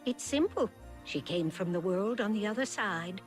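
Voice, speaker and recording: An actress playing a gentle, knowing older fairy godmother in a video game, with a coaxing, theatrical manner. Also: mid-range voice, lively intonation, normal speed, natural voice. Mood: knowing, reassuring. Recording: game music behind the voice.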